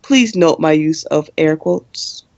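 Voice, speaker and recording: A woman playing a brisk, deadpan student co-hosting a campus radio show in a radio play, formal on announcements and wryly exasperated in her scolding. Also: deep voice, dry recording, muffled recording. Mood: deadpan announcing. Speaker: radio co-host character. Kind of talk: radio play in campus news broadcast style.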